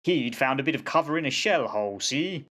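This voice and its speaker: A man's voice: mid-range voice, expressive, brisk, sharp tone. Guy doing an old sergeant major in a radio play, very swoopy folksy storyteller, grimly fatalistic. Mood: storytelling, fatalistic. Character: old soldier sergeant-major, fatalistic yarn-spinning raconteur. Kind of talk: amateur radio play acting.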